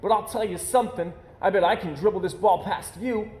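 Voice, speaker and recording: Man doing a high, stubborn boy bear cub. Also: fairly quick, darkish tone, natural voice. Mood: defiant. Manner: contrary, protesting. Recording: roomy echo, faint background noise.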